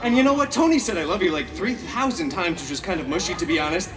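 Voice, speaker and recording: A man's voice: high-pitched, expressive, fast talker, music in the background. A man playing a chaotic, cheeky, vain masked antihero who tells a story theatrically to a live audience with sassy, mock-sweet asides. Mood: dramatic, smug. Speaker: theme park stage show performer. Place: theme park stage, recorded from the audience. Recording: amplified live show, distant, crowd noise.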